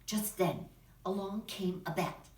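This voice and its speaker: A woman's voice: mid-range voice, measured pace, darkish tone. A woman drama teacher presenting and narrating for children's theater, in instructional talk and storytelling. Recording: echoey room, distant microphone.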